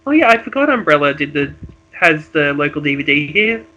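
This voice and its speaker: Bright, higher-pitched rambling man. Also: big pitch swings, fairly quick, muffled recording. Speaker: podcast guest. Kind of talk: casual chat.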